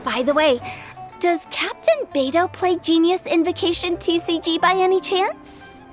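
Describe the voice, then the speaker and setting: Sweet, high-voiced hopeful young fan. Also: female voice, unhurried, faint music behind. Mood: hopeful, admiring. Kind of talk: anime video game voice acting.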